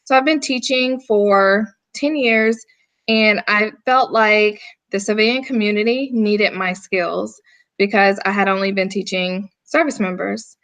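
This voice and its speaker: A woman in her thirties from North Carolina with a Southern accent, answering interview questions in a laid-back, unhurried way as a guest firearms instructor. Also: mid-range voice, a bit bright. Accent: Southern American.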